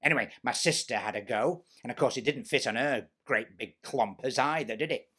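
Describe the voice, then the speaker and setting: A man's voice: mid-range voice, a little fast, pushed and bright, slightly rough. A man voicing a vain, jealous pantomime step-sister with a British accent, telling a story theatrically with snide, self-important, chatty asides. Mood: boastful, then indignant.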